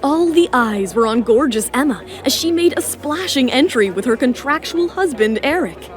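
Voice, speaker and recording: High-pitched woman narrating a romance serial. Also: animated, normal speed. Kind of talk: dramatic scripted narration of a romance audio serial. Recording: music bed under the voice.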